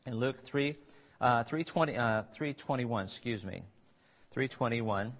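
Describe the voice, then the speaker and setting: A man's voice: mid-range voice, measured pace, fairly bright tone. A man delivers a sermon in an ordinary mid-range voice. He speaks conversationally, breaking off into asides and restarting his phrases. The talk sounds like a church service recorded on tape. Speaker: preacher.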